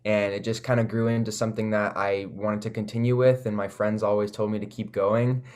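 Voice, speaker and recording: A man's voice: fairly low pitch, flat delivery, brisk, a little mellow, clear, resonant tone. A young man with a Canadian accent chatting casually as an interview guest, laid-back and slangy. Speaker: singer-songwriter guest.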